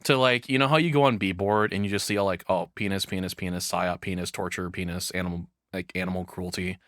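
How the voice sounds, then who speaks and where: A man's voice: low voice, steady tone, brisk, a bit bright, no echo, clean studio sound. A man doing casual live reaction commentary in a rambling, frank, crudely humorous way. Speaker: reaction streamer.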